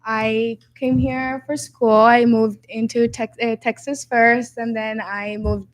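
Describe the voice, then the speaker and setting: A woman's voice: on the high side, flat delivery, slow, poor audio. A young woman from Honduras, a DJ and producer guest, talking casually and hesitantly with many fillers. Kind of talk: casual interview chat.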